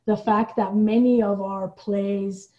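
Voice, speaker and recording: A woman from Iran speaking as a guest in a reflective interview, thoughtful and halting, often checking in with the listener. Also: mid-range voice, fairly even intonation, muffled recording. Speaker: podcast guest, playwright and theater director.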